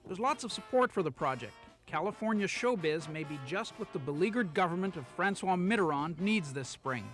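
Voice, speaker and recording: High-voiced male news reporter, wry. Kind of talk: scripted news narration.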